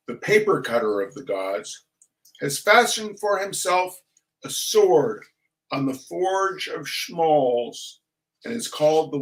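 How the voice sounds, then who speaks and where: A man giving a theatrical reading of a humorous text in a mock-solemn, deliberate manner with dramatic pauses. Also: on the high side, low-quality recording. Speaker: reader of a comic essay.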